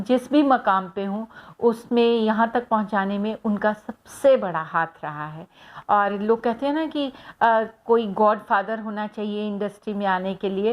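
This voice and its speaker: Middle-aged lady chatting to camera, slightly high voice, slow and thoughtful with lots of ums. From Hoshiarpur, Punjab, India. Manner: warm, reflective, unhurried with many fillers. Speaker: actress answering fan questions. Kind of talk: casual personal talk to camera.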